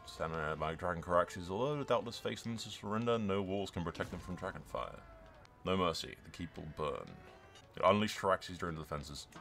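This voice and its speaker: A man's voice: deep voice, gravelly, sharp tone. A man hosting a let's-play, commentating in a rambling, enthusiastic, casual way that slips into dramatic role-play and swearing. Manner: rambling, enthusiastic, sweary, addresses viewers as lads. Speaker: gaming YouTuber, host. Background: game music.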